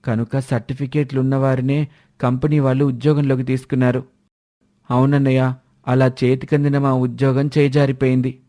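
A man's voice: medium pitch, little pitch movement, moderate pace, dark tone, close to an everyday voice. A man voicing an eager, respectful younger brother who talks earnestly in a family story. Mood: downcast.

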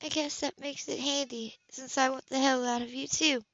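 A woman's voice: sits high, level tone, normal speed, a bit bright, no echo, pitched above the performer's own voice. A woman reading the part of a wry, bold woman social worker with teasing banter in a romance audiobook. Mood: flirtatious. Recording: dull lo-fi home recording.

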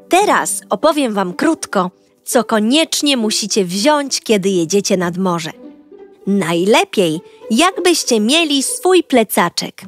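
A woman narrating as a lively young girl. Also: medium pitch. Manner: bright, lively, addresses young listeners directly. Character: cheerful young girl telling her own story. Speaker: audiobook narrator. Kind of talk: first-person storybook narration for children.

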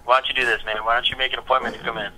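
A man's voice: medium pitch, little pitch movement, racing, pushed and bright. A man working as a recruiter on a phone call, direct and informal, heard through a phone speaker. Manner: direct, questioning, colloquial. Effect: telephone through speaker. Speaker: Navy recruiter. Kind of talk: brisk, informal phone conversation.

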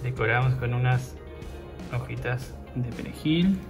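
A man in his thirties with an Argentine accent, hosting a cooking show and calmly talking viewers through each step as he works. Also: mid-range voice, measured pace, over music. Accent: Argentine Spanish. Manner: talks viewers through steps while working with his hands. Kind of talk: casual cooking demonstration. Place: outdoor grill patio.

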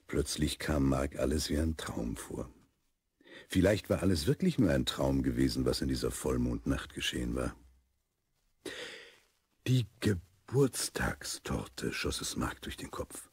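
Fatherly narrator for children's audio drama: extremely low, rough-edged, close and dry. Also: darkish tone, dry recording, heavily acted. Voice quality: husky, very deep. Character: unhurried fatherly storyteller of a children's tale. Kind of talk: scripted children's story narration.

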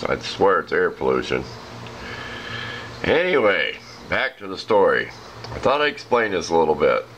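A man with an American accent and a rough voice, casually explaining a homemade project in a plain, unhurried, folksy way. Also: deep voice, wildly swooping pitch, a bit bright. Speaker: hobbyist demonstrating a homemade project. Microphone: handheld camera, speaker behind it. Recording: noisy home video with ambient sound.

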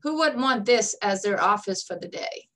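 A woman presenting a scripted recruitment pitch to students as a staffing director, reading lists in a brisk upbeat tone. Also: expressive, fairly bright tone.

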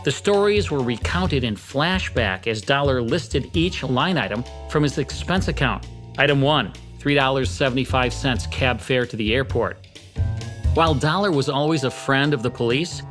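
A man's voice: mid-range voice, animated, normal speed, a bit bright. Middle-aged American male host over music. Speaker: podcast host. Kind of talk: scripted narration introducing old radio drama. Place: podcast studio.

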